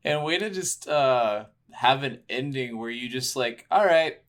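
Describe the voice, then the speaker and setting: A man's voice: medium pitch, lively intonation, unhurried, fairly bright tone. Casual, sweary male game podcaster. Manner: profane, griping, informal. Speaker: podcast co-host reviewing video games. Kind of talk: casual chat about a game.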